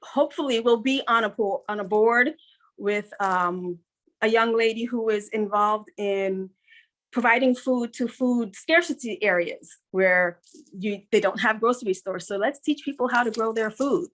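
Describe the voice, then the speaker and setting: A woman's voice: a little high, moderate pace, fairly bright tone, rough recording. A middle-aged woman from Guyana, a guest on an interview podcast, talking in an earnest, upbeat way and repeating points for emphasis. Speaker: guest, business consultant.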